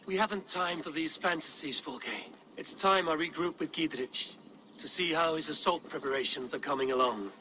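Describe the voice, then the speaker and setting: An actor playing a steadfast, blunt Norse warrior with a declamatory streak in a dramatic video game. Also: male voice, on the high side, moderate pace, sharp tone, a little hiss or hum, low-quality recording. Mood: troubled.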